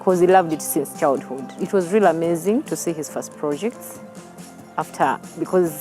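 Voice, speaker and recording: A woman's voice: deep voice, normal speed, over music. A woman with a Ugandan accent giving a personal testimonial in a documentary interview. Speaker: family member giving a testimonial.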